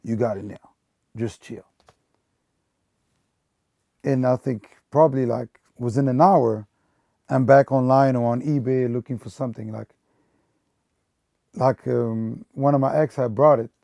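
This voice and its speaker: A man's voice: mid-range voice, fairly even intonation, unhurried, creaky, long pauses, mellow, no room sound, studio quality. A man in his thirties from Belgium, a sneaker store manager, talking casually in a rambling way with lots of fillers and restarts. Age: 30s. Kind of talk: casual documentary interview.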